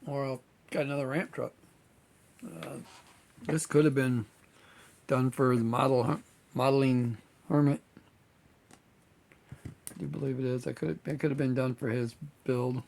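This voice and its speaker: A relaxed man chatting to his viewers about his model builds. His voice is gravelly and a little hoarse, and he rambles with plenty of fillers. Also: medium pitch, measured pace, long pauses. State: slightly hoarse, losing voice. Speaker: scale model builder and channel host. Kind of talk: casual chat to viewers.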